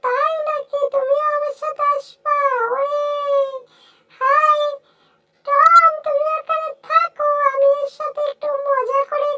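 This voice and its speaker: A woman voicing a tiny, playful, cheeky cartoon animal with a squeaky voice in an amateur dub. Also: flat delivery, takes it slowly, bright, forceful tone, exaggerated voice. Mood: playful, chirpy.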